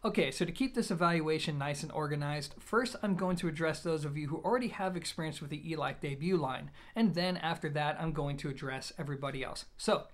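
A man in his thirties giving a product review to camera in a measured, organized way. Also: a little high. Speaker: audio gear reviewer. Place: small plain indoor room.

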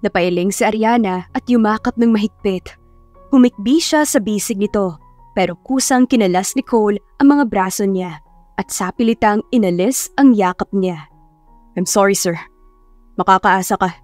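A woman's voice: medium pitch, normal speed, dark tone, no echo. A woman narrating a scripted romance story in a dramatic audiobook style. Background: music.

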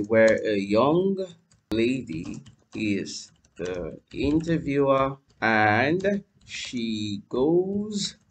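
A young man hosting a screen-recorded software tutorial at his home computer, talking viewers through each step and spelling out what he types. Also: medium pitch, slight background noise. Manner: lively, addresses viewers as "you guys", spells out letters while typing. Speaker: tutorial host. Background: keyboard typing.

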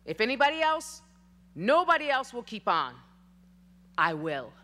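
A woman's voice: a little high, very animated, a little slow, bright, forceful tone. A woman giving a rousing, declamatory dramatic reading of a historical speech from a theater stage, speaking into a stand mic at a lectern. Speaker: stage reader.

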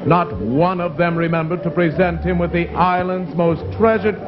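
Actor as grandiose high-pitched 1950s travelogue narrator man. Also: fairly even intonation, background noise, lightly acted. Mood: mock concern. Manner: grandiose, flowery, measured delivery. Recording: muffled old TV soundtrack under loud music.

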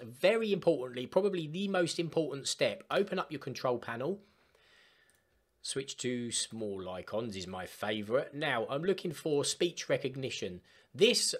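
A man presenting an informal step-by-step software tutorial in an emphatic, instructional way. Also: medium pitch. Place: voice-over for screen recording.

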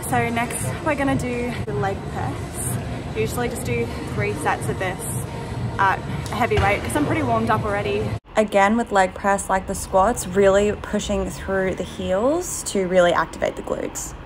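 A woman's voice: mid-range voice, normal speed. A young woman vlogging casually about her workout, with an informal, upbeat, jokey way of talking. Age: young adult. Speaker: fitness vlogger. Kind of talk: casual vlog talk and workout explanation. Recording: loud music behind the voice. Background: loud music.

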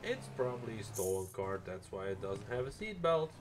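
A man's voice: on the low side, sing-song, a little fast, background noise. A man in his thirties chatting casually in character while streaming a roleplay game from a room at home.

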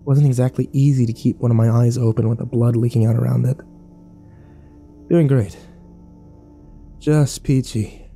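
A man's voice: mid-range voice, fairly even intonation, moderate pace, vocal fry, pauses often, warm tone, soft background music, natural voice. An actor playing a battered, bitter young man who narrates his nightmare in terse, sardonic, profane fragments for a horror audio drama. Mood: sarcastic, wary.

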